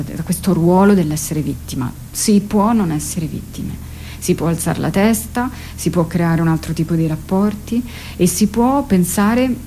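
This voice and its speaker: Female radio guest, theatre artist, lowish mellow voice, hesitant conversational delivery, slight noise. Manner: hesitant, searching, thinking aloud. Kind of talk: radio interview, reflective conversational talk. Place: radio interview.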